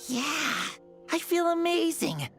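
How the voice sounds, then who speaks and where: Game role: young village boy. Frail, earnest, hesitant, slow delivery with a creaky edge. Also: extremely high pitch, sing-song, soft background music, heavily acted. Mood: excited, hopeful. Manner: hesitant, innocent. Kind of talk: video game voice acting.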